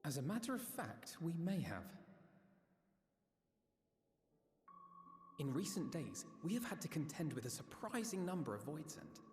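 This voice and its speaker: A man's voice: fairly high pitch, big pitch swings, fairly quick, a little mellow. An actor voicing an earnest, idealistic young nobleman in a video game, speaking politely and formally. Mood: serious. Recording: echoing hall with music behind.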